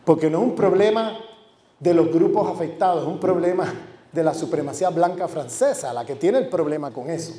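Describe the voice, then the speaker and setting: A man's voice: on the high side, brisk, dark tone. A man, a university professor, giving an academic lecture with emphasis, repeating and listing words for stress. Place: lecture hall. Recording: echoey lecture hall.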